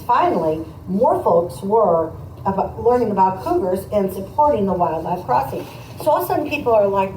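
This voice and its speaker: A woman teacher reading aloud to children, pausing to explain and ask questions in a conversational way. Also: mid-range voice, darkish tone. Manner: explanatory, prompting listeners with questions. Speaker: teacher demonstrating a read-aloud. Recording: roomy, distant mic, noisy room.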